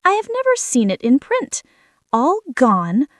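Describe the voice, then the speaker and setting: A woman's voice: high voice, huge pitch swings, a little slow, no room sound, clean studio sound, heavily acted. A woman voicing a small, good-natured female mouse in a children's fairy tale, with an earnest, exclamatory manner. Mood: puzzled, suspicious.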